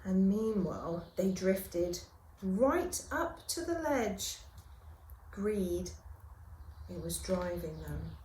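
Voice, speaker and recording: A woman teacher reading a children's story aloud in a theatrical, expressive way with dramatic pauses. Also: mid-range voice, a little hiss or hum. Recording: roomy, echoing room sound.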